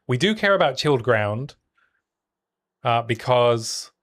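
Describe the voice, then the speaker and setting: A young man with a soft, soothing voice fit for bedtime stories. He talks easily and casually, mid-pitched, breaking off from play to answer his chat. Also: slow. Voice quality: soothing sleep-story voice. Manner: relaxed, chatty, answering chat between plays. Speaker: game streamer. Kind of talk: casual live-stream commentary while playing.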